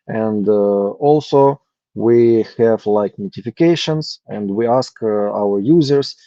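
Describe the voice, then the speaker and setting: A man from Ukraine pitching his startup on a video call, halting and full of fillers. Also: warm tone, no echo. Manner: halting, many uh fillers and restarts. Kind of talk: hesitant online pitch. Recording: dull lo-fi call audio.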